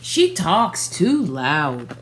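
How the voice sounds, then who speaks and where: Low-voiced young woman reading a storybook. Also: wildly swooping pitch, very slow. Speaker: storybook reader. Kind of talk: scripted storytelling read aloud for children. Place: small furnished room at home.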